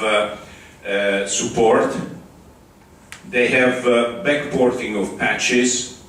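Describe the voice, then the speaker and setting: A man with an Italian accent giving a conference talk, pausing often with fillers and restarts. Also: medium pitch, a bit bright, a little hiss or hum. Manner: halting, with many restarts and fillers. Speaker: conference speaker. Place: large conference hall. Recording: echoey hall, far from the mic.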